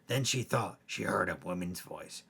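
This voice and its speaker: A man narrating scary bedtime stories as a host, in a theatrical way with emphatic swells and hushed drops. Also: sits low, animated, moderate pace, creaky, breathy edge. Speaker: storyteller, host.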